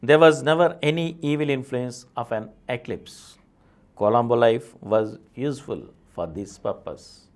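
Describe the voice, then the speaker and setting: A man's voice: mid-range voice, unhurried. A man with an Indian accent reading a memoir aloud as a presenter of an audio series. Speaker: audiobook reader, channel presenter.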